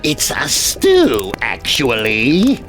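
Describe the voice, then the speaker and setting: A man voicing a sinister child-eating witch in a horror story for kids, speaking with slow, drawn-out menace over music. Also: mid-range voice, wildly swooping pitch, creaky, fairly bright tone, full character voice. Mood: sly, gloating.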